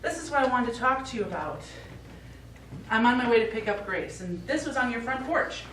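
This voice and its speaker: A woman's voice: on the high side, lively intonation, brisk, a bit bright. A middle-aged woman playing a practical, concerned daughter in a live stage play, coaxing with mild exasperation. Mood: brisk, checking in. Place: small indoor theatre stage, recorded from afar. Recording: echoey theatre, distant mic, faint noise.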